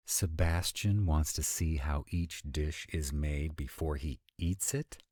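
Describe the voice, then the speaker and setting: Man playing a very deep, husky, grumpy vampire. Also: slow, darkish tone, dry recording, exaggerated voice. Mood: irritated. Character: grumpy male vampire mate, sardonic and proud. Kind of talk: scripted roleplay audio drama.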